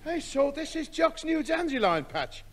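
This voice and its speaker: Casting: chatty silly neighbour, high voice, wildly swooping delivery, vintage radio sitcom. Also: male voice, sing-song, moderate pace. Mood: jovial, chatty. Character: daft, chatty neighbour muddling his words. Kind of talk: 1960s radio comedy acting. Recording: old radio recording with light hiss.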